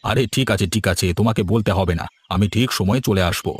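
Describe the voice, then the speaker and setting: A man voicing a brisk, obliging village man in a cartoon, speaking in an agreeable, conversational way. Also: lowish voice, breathy edge, no echo, soft background music. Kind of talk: cartoon voice acting.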